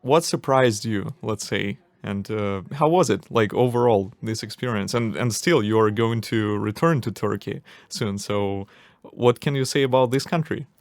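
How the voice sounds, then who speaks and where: A man's voice: on the low side, moderate pace, hardly any pauses, no room sound, clean studio sound. A man hosting a podcast, asking questions in a casual way, searching for words with many fillers. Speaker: podcast host.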